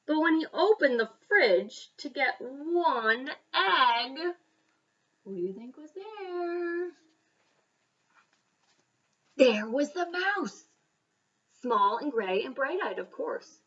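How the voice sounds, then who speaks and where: Bright-voiced woman reading a storybook aloud. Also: high-pitched, lively intonation, recorded from a distance, lo-fi sound. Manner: dramatic emphasis and pauses. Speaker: elementary school storybook reader. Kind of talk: expressive children's read-aloud with asides.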